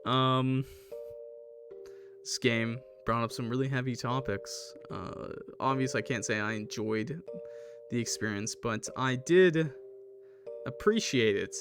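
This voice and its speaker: A man chatting casually on a livestream as a gamer, halting and hesitant, with a self-deprecating manner. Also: mid-range voice, over music. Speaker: streamer playing and reviewing a game. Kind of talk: casual livestream chat, reacting to a game and viewer comments.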